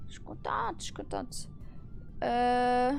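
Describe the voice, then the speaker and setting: Tired, high-voiced teenage girl streaming. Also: huge pitch swings, slow pace, vocal fry, soft background music. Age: teens. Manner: chatty, greets viewers, many fillers and restarts. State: tired and stuffy from a cold. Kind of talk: casual live-stream chat.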